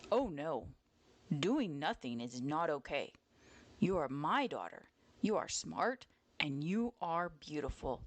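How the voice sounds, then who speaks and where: A woman's voice: deep voice, expressive, moderate pace, a bit bright, dry recording. A woman voicing a stern, protective father bear in a children's storybook, speaking in a firm, instructive way.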